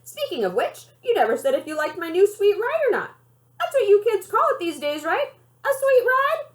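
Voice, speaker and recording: A woman's voice: extremely high pitch, very animated, normal speed, pitched above the performer's own voice. A woman voicing a spirited little old grandmother in an audiobook story, folksy, playful and affectionate. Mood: teasing, proud.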